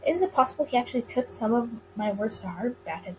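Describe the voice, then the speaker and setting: A woman's voice: fairly high pitch, lively intonation, fast talker, a little mellow, a little hiss or hum, poor audio. A woman reading a visual novel aloud, narrating and stumbling over the odd word before rereading it. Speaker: let's-play reader of a visual novel.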